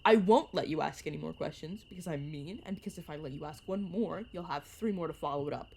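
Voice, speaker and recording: A woman's voice: deep voice, fast talker, vocal fry, background noise. A woman voice actor playing a playful, sarcastic young vampire mentor who chats and teases in an audio roleplay. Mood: gently bossy. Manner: teasing, sarcastic, chatty. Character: playful, teasing vampire mentor, turned at nineteen. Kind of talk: narrative audio roleplay, one-sided conversation.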